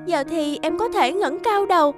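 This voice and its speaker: Cartoon teen girl, really high soft voice, hesitant n sincere, northern vietnamese accent, music behind. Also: moderate pace. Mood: grateful. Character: anxious, earnest teenage girl dreaming of baking. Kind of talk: animated cartoon dialogue.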